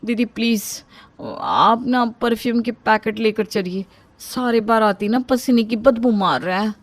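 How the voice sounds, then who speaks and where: A woman's voice: on the high side, a little slow, no room sound. A woman voicing a vain, fussy younger woman who protests in a cartoon story. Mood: complaining.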